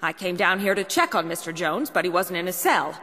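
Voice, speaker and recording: An actress playing an anxious, earnest asylum doctor in a video game cutscene. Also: female voice, on the low side, expressive, fairly quick, bright, forceful tone. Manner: nervous, earnest. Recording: long stone-tunnel reverb, faint noise.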